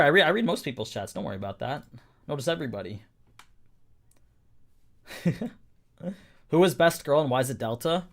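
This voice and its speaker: A young man talks casually on a live stream, his voice a little hoarse after an hour of talking. His pitch sits in a middle register, and he rambles with plenty of fillers and restarts as he answers chat.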